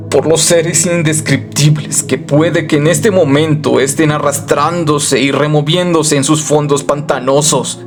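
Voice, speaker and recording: A man's voice: on the high side, natural voice. A man playing a tormented narrator sliding into madness, telling his story in a solemn, theatrical way in a horror radio play. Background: music.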